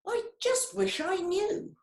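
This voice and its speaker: A woman's voice: very high-pitched, slow pace, voice pushed up. A woman voicing an anxious, earnest little male chameleon in a storybook reading, with a pleading, flustered way of talking. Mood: fretful. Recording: echoey room.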